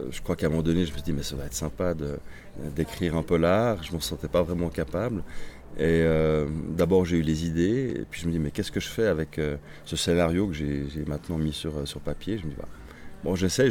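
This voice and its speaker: Deep, dark-toned man, Swedish native speaker, novelist guest, thoughtful and halting. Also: slight background noise. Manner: reflective, halting, many restarts. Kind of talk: interview answers.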